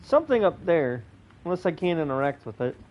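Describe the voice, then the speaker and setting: A man's voice: fairly high pitch, very animated, a little fast, a little hiss or hum. A man giving casual commentary while playing a video game. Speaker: gamer. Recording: dull lo-fi mic, faint music.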